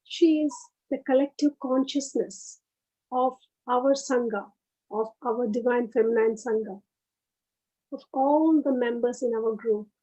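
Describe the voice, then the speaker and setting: A woman leading a guided meditation, speaking in short, unhurried phrases with pauses between them. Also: on the high side, warm tone, poor audio.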